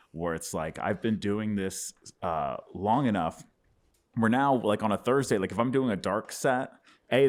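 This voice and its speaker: A man in his thirties from Dallas, a stand-up comedian talking reflectively about his life in a home studio. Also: fairly low pitch. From Dallas, Texas. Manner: reflective storyteller, animated hand gestures. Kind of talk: casual interview chat. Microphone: boom-mounted studio mic with headphones.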